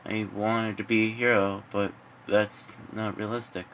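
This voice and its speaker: A man's voice: fairly low pitch, flat delivery, a little slow, a bit bright, slight background noise, low-quality recording. A man voicing a dejected teenage boy in an amateur fan story, speaking with hesitation and self-doubt. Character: dejected teenage boy who dreamed of being a hero.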